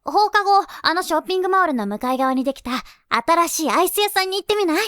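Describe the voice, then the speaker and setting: A woman's voice: very high voice, animated, quick pace, a bit bright, dry recording, clean studio sound. A woman playing a confident, teasing teenage schoolgirl idol in an anime-style Japanese voice drama, with poised, coaxing feminine speech. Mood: teasing, friendly. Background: light tinkling children's music.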